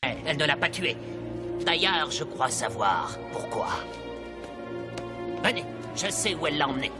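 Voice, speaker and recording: Composed cartoon guide over loud music. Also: male voice, a little high, very animated, moderate pace. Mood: puzzled, explaining. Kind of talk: French-language animated series dub. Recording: loud music bed under dialogue. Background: cartoon soundtrack music.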